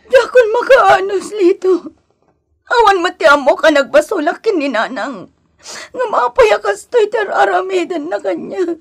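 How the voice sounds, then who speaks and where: A woman's voice: very high voice, big pitch swings, unhurried, exaggerated voice. A Filipino woman with an Ilocano accent acting an aggrieved young wife in a radio drama, plaintive and protesting. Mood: hurt. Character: aggrieved young wife, resentful of her in-laws.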